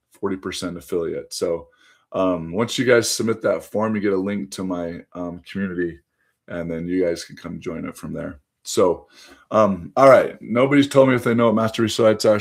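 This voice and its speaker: Male host, low voice, slightly hoarse, chatty direct-to-viewer pitch on a phone stream. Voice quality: conversational, addresses viewers directly, frequent fillers. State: voice going hoarse, under the weather. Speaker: livestream host. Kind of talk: casual sales pitch on a phone livestream.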